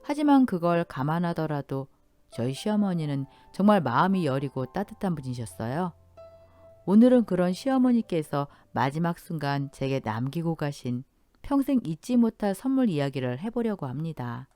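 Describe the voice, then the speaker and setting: A woman narrating a scripted first-person story in a gentle, polite storytelling manner. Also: deep voice, very animated, normal speed, faint music behind.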